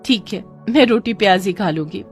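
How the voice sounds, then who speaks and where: A woman's voice: mid-range voice, normal speed, breathy edge, soft background music. A woman voicing a kind, determined schoolteacher in a children's moral story. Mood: encouraging.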